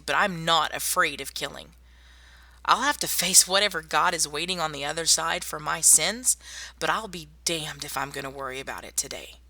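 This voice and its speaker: A woman playing a tough, wry young survivor in a zombie apocalypse ASMR roleplay, speaking softly and intimately to one listener. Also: low voice, vocal fry, no room sound, exaggerated voice. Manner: soft-spoken, intimate, addressing one listener. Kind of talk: ASMR roleplay audio drama.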